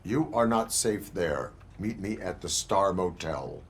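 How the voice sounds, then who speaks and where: An actor playing a stoic robotic police officer in a video game, speaking in a stilted, formal, emotionless way. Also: male voice, sits low, expressive, slow pace, heavily acted. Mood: flat, stoic.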